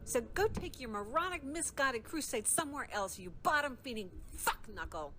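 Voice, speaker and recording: A brash, foul-mouthed young rebel vampire sneers and swears in a combative voice. Her lively pitch leaps up and down and sits a touch high. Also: moderate pace, a little hiss or hum. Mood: defiant. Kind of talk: game character dialogue.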